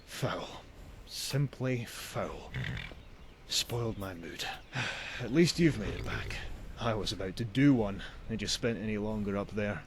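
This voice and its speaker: An actor playing a wry, cynical traveling merchant in a video game, with a theatrical, sardonic manner and old-fashioned phrasing. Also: male voice, on the low side, unhurried, slight background noise. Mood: disgusted. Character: wry, cynical male traveling merchant, grim about bandits. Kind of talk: voiced video game dialogue.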